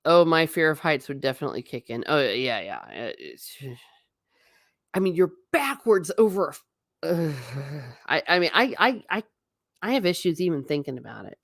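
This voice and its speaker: A woman's voice: deep voice, big pitch swings, moderate pace, gravelly, a bit bright, no echo, clean studio sound. A middle-aged woman chatting on a stream about travel photos, rambling and self-deprecating. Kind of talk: casual stream commentary.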